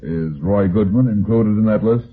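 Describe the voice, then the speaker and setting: An actor playing a tough, inquisitive private detective, dry and clipped, in an old-time radio drama. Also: male voice, lowish voice, moderate pace, breathy edge. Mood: cool, businesslike. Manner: clipped, dry, pressing questions. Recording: noisy narrow-band vintage radio recording.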